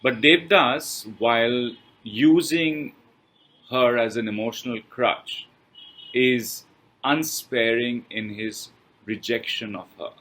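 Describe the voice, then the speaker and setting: A man reading a scripted film review as presenter, with measured, halting, deliberate phrasing. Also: mid-range voice, fairly bright tone, poor audio. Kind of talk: scripted read-aloud review with pauses.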